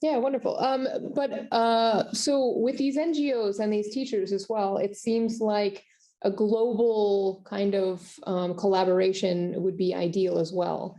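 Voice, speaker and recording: A woman's voice: mid-range voice, steady tone, a little slow, dark tone. A woman hosting a conference Q&A on a video call, friendly and affirming, with hesitant fillers. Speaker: conference host. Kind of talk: conference Q&A conversation.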